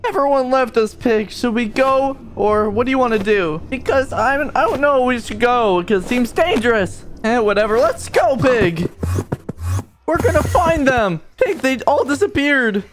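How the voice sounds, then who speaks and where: Woman voicing an excitable high-pitched cartoon stick figure. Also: moderate pace, background noise, lightly acted. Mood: searching, chatty. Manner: casual youthful slang, chatty exclamations. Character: excitable orange stick figure searching for lost friends. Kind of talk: amateur cartoon fan voiceover.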